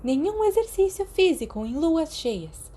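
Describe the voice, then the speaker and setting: A high-voiced, motherly school nurse from an audiobook, acted out by a woman. She is fussy and easily startled, fretting and scolding with tenderness. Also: very animated, unhurried, dark tone, heavily acted. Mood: gently scolding. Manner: fretful, scolding yet tender. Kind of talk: audiobook character voice in Brazilian Portuguese.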